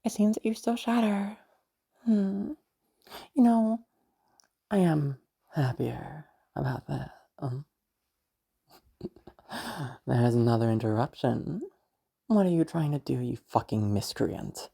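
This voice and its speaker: A very deep, husky female voice with a dark tone plays a cruel, wealthy matriarch acted out by a woman in an audio drama. She is pious and domineering, talking down with taunting contempt and lingering pauses. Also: exaggerated voice. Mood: mocking menace. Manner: condescending, taunting, drawn-out pauses and hums. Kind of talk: amateur audio roleplay monologue.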